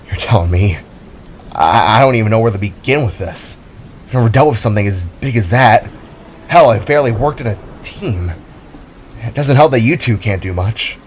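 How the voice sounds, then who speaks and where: Man voicing a flustered low-voiced young hero. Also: lively intonation, normal speed, slight background noise, natural voice. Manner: babbling, flustered. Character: anxious self-composed spark hero, a blaster-armed blue boy.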